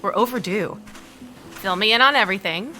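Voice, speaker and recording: A woman's voice: medium pitch, fairly quick, pushed and bright, faint music behind, lightly acted. An actress playing a friendly, supportive woman doctor in conversational audio soap opera style. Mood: friendly, curious.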